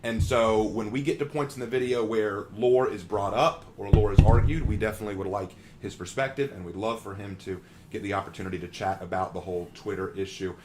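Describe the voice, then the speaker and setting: A man's voice: on the low side, fairly even intonation, a little fast, hardly any pauses, noisy background. A man hosting a live stream, talking in a friendly, chatty way with frequent ums and uhs. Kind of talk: casual live stream commentary.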